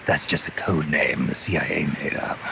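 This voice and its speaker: Casting: a weary legendary mercenary commander, game drama, low gravelly growl, terse and guarded. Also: male voice, moderate pace, background noise, heavily acted. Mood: guarded, defiant. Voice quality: gruff, gravelly rasp. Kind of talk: video game cutscene voice acting.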